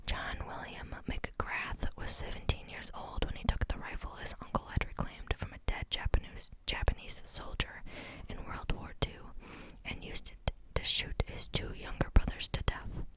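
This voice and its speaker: A woman's voice: moderate pace, few pauses. Woman reading aloud in a whisper. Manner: soft unvoiced whisper throughout; reads steadily, stumbles and restarts on words. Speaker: reader.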